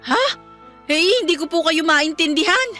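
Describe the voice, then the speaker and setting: Evasive young housemaid for radio melodrama, played by an actress: extremely high, whiny, deferential, pleading. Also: female voice, animated, normal speed, pushed and bright, faint music behind, heavily acted. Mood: feigned innocence. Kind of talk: melodramatic radio drama acting.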